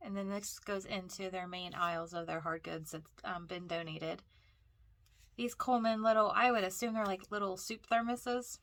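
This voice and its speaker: A woman's voice: medium pitch, steady tone, moderate pace, a bit bright, dry recording. A woman with a cold and a stuffy, nasal voice, chatting casually as she narrates a walk through a thrift store. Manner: chatty, rambling, frequent fillers and restarts.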